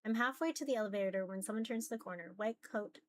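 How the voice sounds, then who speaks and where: A woman's voice: medium pitch, fast, slight background noise. A woman reading a visual novel aloud as dramatic narration.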